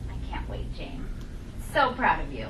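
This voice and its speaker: A woman's voice: low-pitched, very animated, measured pace. A woman playing a principled scientist and mother at a screenplay table read, firm in manner. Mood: busy, pleased. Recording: distant microphone in echoey, noisy room.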